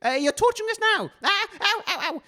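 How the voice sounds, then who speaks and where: A man hosts a comedy radio show in a nervous, flustered manner. His pitch leaps about wildly and he often stammers over his words. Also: big pitch swings, quick pace, pushed and bright, dry recording, studio quality. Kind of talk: comedy radio hosting in character.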